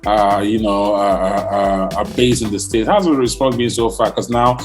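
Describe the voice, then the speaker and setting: A man hosting a podcast, interviewing guests in a casual way, often pausing with fillers and restarting his sentences. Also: lowish voice, steady tone, soft background music. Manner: hesitant, many fillers and restarts. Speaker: podcast host.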